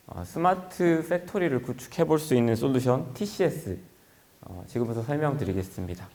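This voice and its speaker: A man's voice: fairly high pitch, darkish tone. A man giving a formal conference presentation as a company executive, speaking in a polite, explanatory manner.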